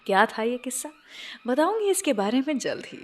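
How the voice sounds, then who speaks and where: A woman's voice: sits high, sing-song, fairly quick. Indian woman radio host over music. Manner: addresses listeners directly, mixes English phrases into speech. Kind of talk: scripted radio show narration. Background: music bed.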